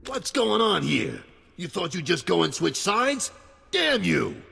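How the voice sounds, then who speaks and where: An actor playing a gruff older colonel in a video game cut-scene, with a raspy, gravelly voice and blunt, drawling orders. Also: male voice, sits high, big pitch swings, unhurried, bright, forceful tone, a little hiss or hum, full character voice. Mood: furious. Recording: long hall reverb.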